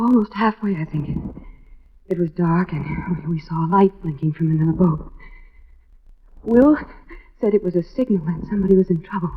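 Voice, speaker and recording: An actress playing a frail, shocked, exhausted wife in an old radio drama, halting and stammering in a breathy voice. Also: female voice, on the low side. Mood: dazed, weary. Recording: dull vintage radio recording.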